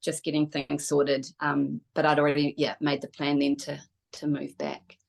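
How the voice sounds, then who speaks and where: A woman's voice: deep voice, completely flat delivery, normal speed, gravelly. A middle-aged woman with a New Zealand accent, speaking casually and openly as an interview guest in a chatty, reflective way. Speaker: guest, kinesiologist.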